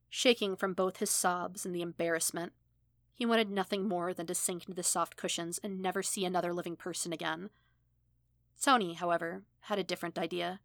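A woman's voice: lowish voice, a bit bright, no room sound. Dry-sounding woman narrating fiction. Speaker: fan fiction audiobook narrator. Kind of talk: scripted narration.

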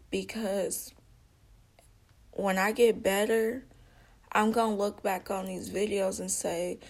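A woman's voice: medium pitch, gravelly. A woman giving an earnest personal testimony, speaking conversationally, calling her listeners y'all and repeating herself with small slips. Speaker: vlogger.

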